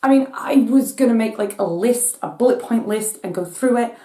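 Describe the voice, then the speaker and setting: A woman hosting her own channel, hoarse from losing her voice, ranting in exasperation as her voice cracks high now and then. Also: moderate pace, mellow, reverberant. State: hoarse from voice loss. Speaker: channel host. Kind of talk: casual rant vlog.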